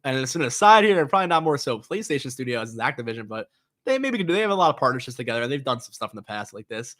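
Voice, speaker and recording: A young man talks fast and loosely through gaming news as a show host, piling up fillers and restarts. He sounds stuffy and nasal from a cold, and his pitch sits in a middle range. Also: big pitch swings, fairly bright tone. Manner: rambling, lots of fillers and restarts. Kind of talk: casual solo commentary.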